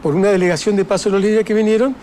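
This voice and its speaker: A man's voice: high voice, steady tone, very fast, dark tone, a little hiss or hum. An older man with an Argentine Spanish accent, speaking reflectively as an author in an interview, with many fillers and restarts. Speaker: author guest. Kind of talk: interview conversation.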